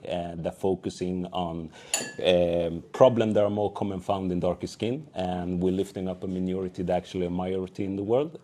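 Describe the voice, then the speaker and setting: A man's voice: deep voice, mellow. A young man from Sweden telling his founder story on a panel, acting out quoted dialogue with many fillers. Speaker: entrepreneur, panel speaker.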